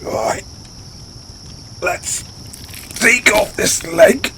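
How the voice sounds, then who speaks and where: A man's voice: high voice, sing-song, sharp tone, a little hiss or hum, exaggerated voice. A man playing a big, brash orc barbarian in a fantasy audio roleplay, speaking colloquially straight to the listener. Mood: hearty, relishing. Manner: colloquial, halting when defensive. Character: big, brash male orc barbarian, rambunctious but sheepish. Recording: nature ambience with crickets behind. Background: outdoor night ambience, crickets.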